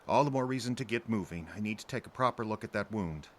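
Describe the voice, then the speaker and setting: A man playing an urgent, evasive middle-aged father on the run in an audio drama, speaking in a pressing, defensive, halting way. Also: lowish voice, expressive, slight background noise, close to an everyday voice. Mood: tense, insistent.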